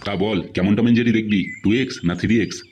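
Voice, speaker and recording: A man's voice: fairly low pitch, steady tone, rapid-fire, heavy background noise, natural voice. A man voicing a blunt, nosy adult village man in a Bengali comedy cartoon, asking direct questions. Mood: friendly, curious.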